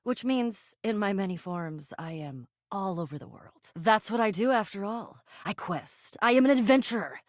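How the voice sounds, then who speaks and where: A woman voicing an exuberant, pompous adventurer spirit of boxes and containers in a video game, verbose and excitable in a theatrical style. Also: medium pitch, moderate pace, few pauses, no room sound, clean studio sound, lightly acted. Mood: boastful. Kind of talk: theatrical game character dialogue.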